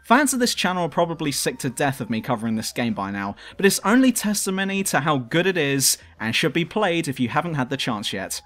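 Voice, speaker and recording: A man's voice: a little high, sing-song, fairly quick, few pauses, fairly bright tone. A man reading scripted review narration as a video reviewer.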